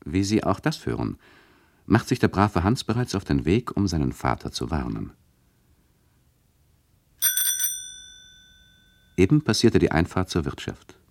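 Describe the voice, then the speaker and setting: An actor playing a mock-solemn, theatrical narrator who chummily addresses the listeners of a radio play. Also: male voice, low-pitched, very animated, moderate pace, no room sound. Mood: wry narrating.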